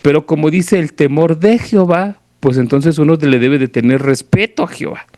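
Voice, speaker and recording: A man's voice: on the high side, moderate pace, mellow, no echo, studio quality. A man with a Mexican accent preaching an informal, didactic sermon, explaining conversationally and often checking that listeners follow. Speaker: preacher at a church service. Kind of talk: informal sermon with Bible reading.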